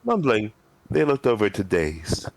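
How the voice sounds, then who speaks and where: Male narrator, low register, animated pitch movement, amateur group dramatic reading. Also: a little slow, a little hiss or hum. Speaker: reader in group roleplay. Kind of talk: scripted story narration.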